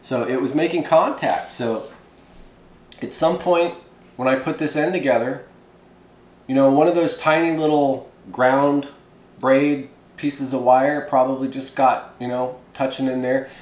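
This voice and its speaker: A man's voice: mid-range voice, fairly even intonation, measured pace. A man hosting a hands-on how-to video in casual, folksy, rambling talk. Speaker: host of a hobby how-to video. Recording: echoey room.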